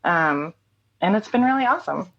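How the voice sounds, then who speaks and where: A woman with a notably low voice chats casually as a guest, unhurried and warm with frequent small laughs. The recording is slightly dull and lo-fi, like a remote call. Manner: relaxed, laughs often, many fillers. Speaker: interview guest, writer and songwriter. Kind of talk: casual interview chat.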